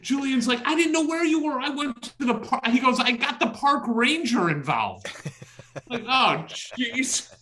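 A middle-aged man with a loud voice, hosting a podcast and telling an anecdote in casual chat, exclaiming and acting out the dialogue as he goes. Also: very high voice, sing-song, a little slow, slight background noise. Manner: exclamatory, acts out dialogue in his stories. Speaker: podcast host.

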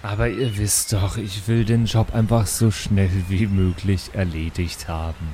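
Slow, reluctant man at a game table. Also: lowish voice, steady tone, dark tone, slight background noise. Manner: reluctant, whiny, hesitant. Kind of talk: improvised in-character play.

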